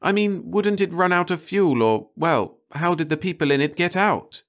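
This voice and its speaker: A man's voice: sits high, animated, normal speed, a bit bright, voice pushed up. A man voicing a puzzled, wide-eyed married woman in an audiobook, with hesitant questions. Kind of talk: audiobook character voice.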